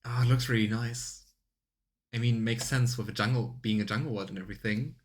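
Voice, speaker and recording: A man's voice: medium pitch, fairly even intonation, a little fast. A man giving casual let's play commentary as he streams a video game. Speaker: streamer playing a video game and voicing its text.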